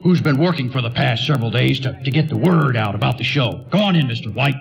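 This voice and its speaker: An actor playing a blustery, glad-handing and slightly crooked mayor, pompous and folksy, in a 1940s-style radio comedy. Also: male voice, mid-range voice, lively intonation, fast, gravelly. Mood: genial, smug. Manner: pompous, folksy, self-correcting.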